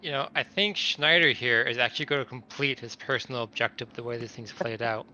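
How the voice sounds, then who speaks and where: A man with a bright, mid-pitched voice speaks as a player in a tabletop game, casually or reading from notes. The recording is slightly dull and lo-fi with faint noise. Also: a little fast.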